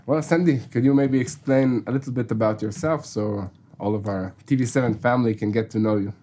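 A man's voice: medium pitch, fairly quick, dark tone. A man hosting a faith podcast, asking friendly questions with frequent fillers. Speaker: podcast host. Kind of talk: casual interview hosting.

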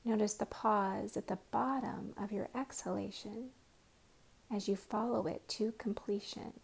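Slow, breathy woman guiding meditation. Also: medium pitch, steady tone. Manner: slow, soft, often whispered guidance. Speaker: guided meditation teacher.

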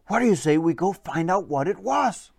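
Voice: medium pitch, animated, normal speed, gravelly, a little mellow, dry recording, clean studio sound. A storyteller voicing a cheerful, fatherly troll who encourages and explains in a children's bedtime story. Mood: encouraging.

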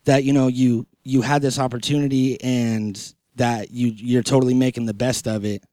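Slangy man, mid-pitched, dry close sound. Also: little pitch movement, mellow, no room sound. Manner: slangy, halting. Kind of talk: casual chat.

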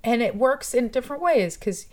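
A woman's voice: on the high side, animated, normal speed, darkish tone. A woman from Toronto, a musician, talking in a casual interview in a thoughtful, chatty way and laughing often. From Toronto, Canada. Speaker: musician and guest.

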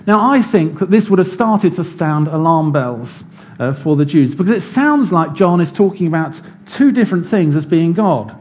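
A man preaching a sermon in a church, explaining scripture in a measured teaching manner. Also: on the high side, lively intonation, darkish tone.

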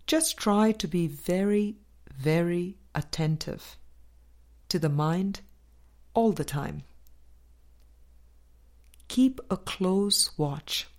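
A woman reading a spiritual book aloud as a scripted narration, with measured, deliberate phrasing in short groups. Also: on the low side, a little mellow. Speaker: audiobook reader.

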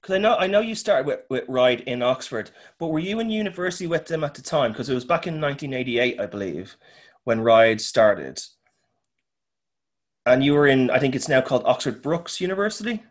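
A man's voice: medium pitch, expressive, a little fast. A man co-hosting a casual podcast interview, asking questions in a hesitant way with many fillers and restarts. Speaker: podcast co-host.

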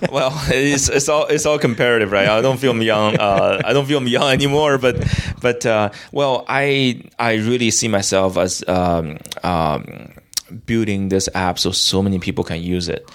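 Deep-voiced young man from China. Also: noisy background. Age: young adult. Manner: halting, many restarts and fillers. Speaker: interview guest. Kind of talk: casual interview talk.

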